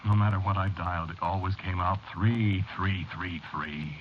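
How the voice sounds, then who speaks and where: An actor playing a frightened, unraveling man in an old-time radio horror drama, halting and pleading, as if haunted. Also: sits low, steady tone, close to an everyday voice. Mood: haunted, weary. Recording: noisy, muffled old radio drama recording.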